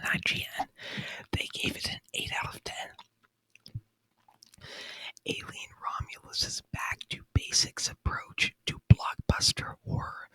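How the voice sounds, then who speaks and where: A man whispering softly and breathily in an unhurried ASMR review style, close to the microphone. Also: low-quality recording. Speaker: ASMR video host.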